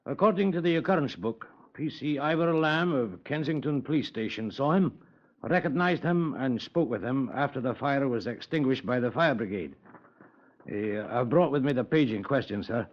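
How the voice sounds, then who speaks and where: Actor playing a by-the-book sergeant, old radio play, voice a bit distant, dull lo-fi sound. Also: male voice, mid-range voice, lively intonation, normal speed, natural voice. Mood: dutiful. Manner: formal, dutiful, reporting by the book. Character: dutiful male police station sergeant, formal. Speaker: station sergeant. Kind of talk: 1950s radio drama acting. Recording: lo-fi radio, voice set back from the mic.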